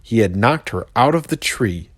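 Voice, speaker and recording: An American man, a pastor, reading a children's story aloud with expressive narration. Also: on the low side, moderate pace, slightly rough, dry recording, clean studio sound.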